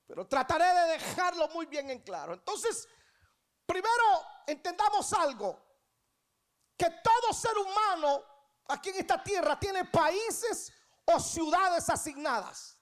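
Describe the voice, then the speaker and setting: A woman's voice: very high-pitched, expressive, unhurried. A woman in her thirties with a Guatemalan Spanish accent preaches a sermon, emphatically repeating key phrases and putting questions to the congregation. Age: 30s. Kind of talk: church sermon.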